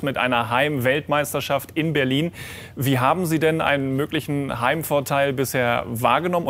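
A man presenting sports news and interviewing a coach in a formal, measured way. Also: on the high side, fairly bright tone.

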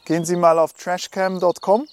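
A man's voice: high-pitched, little pitch movement, normal speed, a little mellow, clean tone. A man from the Netherlands who built an app talks casually, sometimes stumbling and restarting his words. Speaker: app developer. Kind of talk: interview and street chat.

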